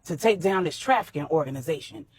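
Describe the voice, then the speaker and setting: A woman storyteller voicing a commanding, protective male crime boss with a measured, persuasive manner. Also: low-pitched, lively intonation, a little mellow. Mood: assured, persuasive.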